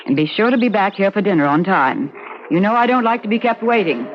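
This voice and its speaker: An actress playing a bossy, protective middle-aged wife, brisk and scolding, in a radio drama. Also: female voice, medium pitch, expressive, lightly acted. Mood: scolding, concerned. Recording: dull, faintly noisy old radio recording.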